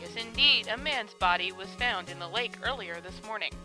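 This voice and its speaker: A woman's voice: mid-range voice, normal speed, music in the background, close to an everyday voice. A woman playing a television news anchor in an audio drama, reading scripted bulletins. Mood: urgent.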